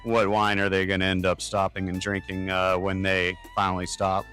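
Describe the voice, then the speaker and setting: Lowish-voiced man, wry casual storyteller. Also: completely flat delivery, normal speed, a bit bright, faint music behind. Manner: wry, acts out quoted dialogue.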